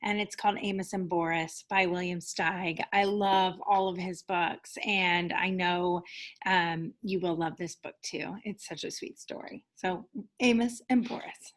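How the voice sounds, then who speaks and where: A woman reading a children's picture book aloud as a teacher, with enthusiastic, animated delivery and dramatic emphasis. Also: lowish voice, measured pace. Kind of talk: expressive children's story reading.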